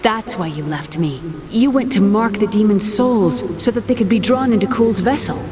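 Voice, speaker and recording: A woman's voice: mid-range voice, normal speed, a crowd in the background, natural voice. An actress playing a brave young woman in a video game, speaking urgently and directly. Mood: earnest. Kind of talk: video game cutscene acting. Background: game music.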